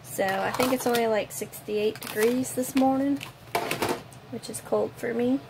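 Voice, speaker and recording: A woman's voice: a little high, steady tone, unhurried, noisy background. A woman, chatting casually to a phone held at arm's length, congested and a little nasal, tired, rambling with lots of fillers. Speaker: vlogger. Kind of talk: casual chat to camera. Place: home kitchen and parked car.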